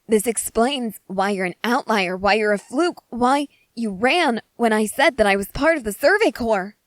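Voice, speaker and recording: A woman playing an eager, excitable scientist soldier fascinated by creatures, speaking earnestly and emphatically to the listener in an ASMR roleplay. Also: on the high side, normal speed, dry recording, lightly acted. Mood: awed excitement.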